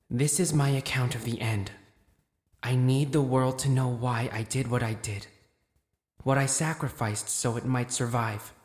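Bookish male scholar, played by an actor, slow muttering, expressive, curious, dry audio drama sound. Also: medium pitch, dry recording, natural voice.

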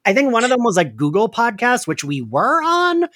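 A man's voice: very high-pitched, wildly swooping pitch, normal speed, a bit bright, dry recording, studio quality. A man in his forties hosting a casual podcast, chatty and self-deprecating. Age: 40s. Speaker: podcast host.

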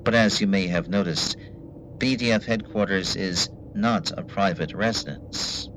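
An actor playing a prim, officious bureaucrat in a radio play, formal and pedantic with stiff politeness. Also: male voice, low voice, a little slow, background noise. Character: prim, officious male bureaucrat running a facility's intercom service.

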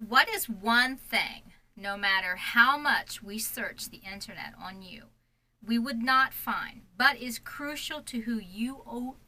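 A woman's voice: a little high, lively intonation, slow, pushed and bright, rough recording. A woman hosting a live interview with an author, asking questions in a chatty way and laughing often. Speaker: interview host. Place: home studio with ring lights.

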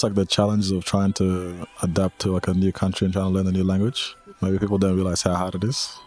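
A man's voice: low voice, a little mellow. A man giving casual, tentative interview answers, hedging as he goes. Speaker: refugee interviewee.

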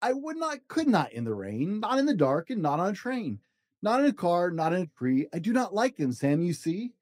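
A man's voice: sits high, sing-song, moderate pace, a little mellow, no echo. Man acting out a grumpy, swooping storybook refuser. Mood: exasperated. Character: grumpy, stubborn picky eater refusing food, storybook character.

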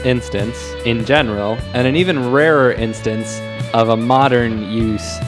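A man's voice: medium pitch, a little slow. A man co-hosting a podcast, chatting informally about music. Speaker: podcast co-host. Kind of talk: casual music discussion.

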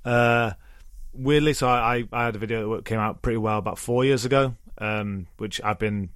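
A man's voice: on the low side, normal speed, fairly bright tone, clear, resonant tone, dry recording, clean studio sound. A man in his thirties hosting a podcast, chatting casually in a self-deprecating way, with frequent laughs and offhand swearing. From Britain. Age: 30s. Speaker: podcast host. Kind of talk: casual chat.

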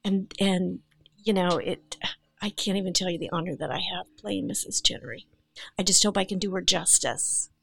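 A woman's voice: lowish voice, moderate pace, creaky, a bit bright. An older woman speaking as an actress guest on a podcast, talking in an earnest, heartfelt way. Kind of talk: casual podcast chat.

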